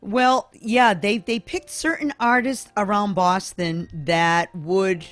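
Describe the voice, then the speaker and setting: A woman in her sixties hosts a relaxed interview over a video call, asking curious questions and drifting into stories of her own. Her voice sits in an average register, with faint music in the background. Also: mid-range voice, slow, no echo. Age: 60s. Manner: chatty, curious, tells stories. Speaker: host.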